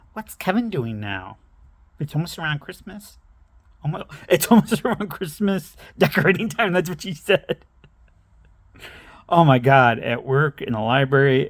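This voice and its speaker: A middle-aged man spins a wandering personal story, breaking off into asides and chuckling at himself. His voice has a gravelly edge, and the recording is dry and close. The delivery is casual and unpolished. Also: mid-range voice, no room sound. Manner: meandering, self-interrupting, laughs at himself. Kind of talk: rambling personal anecdote.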